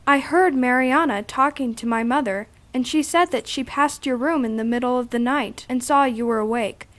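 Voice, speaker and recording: A woman's voice: high voice, moderate pace, a bit bright. A woman playing a curious, admiring twelve-year-old girl in a religious audio drama. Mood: curious, earnest.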